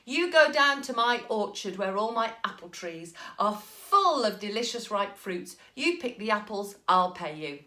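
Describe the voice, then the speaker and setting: A woman voicing a hearty farmer who speaks loudly and kindly, recorded in an echoey room. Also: mid-range voice, very animated, a little slow, few pauses. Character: pleased hearty farmer, man.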